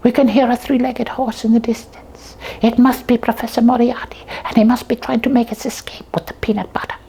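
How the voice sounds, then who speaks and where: A woman's voice: a little high, level tone, a little fast, mellow, breathy edge. A woman voicing a hungry young talking slipper who plays detective, telling the tale with pompous earnestness in a comic puppet show. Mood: earnest.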